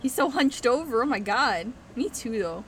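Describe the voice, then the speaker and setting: Excitable young woman streaming a game. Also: on the high side, moderate pace, a little hiss or hum. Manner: excitable, giggly, reactive. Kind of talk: casual gameplay commentary. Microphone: headset.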